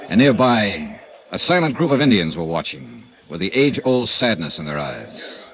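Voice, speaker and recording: An actor playing a hardboiled American insurance investigator who narrates in first person and talks in a terse, matter-of-fact way, in a 1950s radio drama. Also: male voice, low voice, very animated, moderate pace, slight background noise, poor audio. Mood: steady. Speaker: narrator and lead detective. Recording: old radio transcription with music bed.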